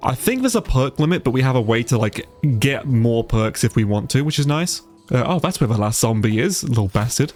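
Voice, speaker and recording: A man's voice: mid-range voice, very animated, a little fast, darkish tone, soft background music. A man with a stuffy, congested nose giving casual, sweary let's play commentary and sounding easily amazed. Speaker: gaming YouTuber, player.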